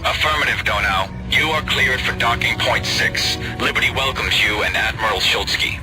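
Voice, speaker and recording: An actor playing a stern, official space station controller in a video game, speaking in clipped radio procedure. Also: male voice, medium pitch, normal speed, over music, lightly acted, poor audio. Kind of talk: video game cutscene acting.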